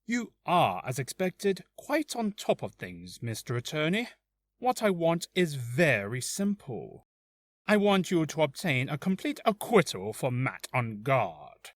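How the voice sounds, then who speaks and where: A man's voice: on the high side, very animated, no room sound. Man acting a courteous, menacing assassin with swooping delivery. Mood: calm menace. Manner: courteous, measured, deliberate. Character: polite, menacing male assassin making ransom demands. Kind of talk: video game character voice acting.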